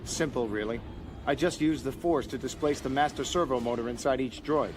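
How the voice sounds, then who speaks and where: An actor playing a calm, self-assured warrior mystic in a sci-fi audio drama, unhurried and solemn. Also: male voice, medium pitch, background noise, lightly acted.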